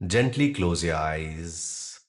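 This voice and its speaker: A man with a deep voice leads a guided relaxation. He speaks very slowly and calmly, in short measured phrases separated by long pauses. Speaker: yoga nidra meditation guide.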